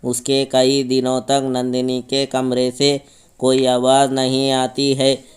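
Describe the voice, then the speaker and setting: Very smooth, flat-toned male narrator. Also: mid-range voice, takes it slowly. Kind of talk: scripted story narration.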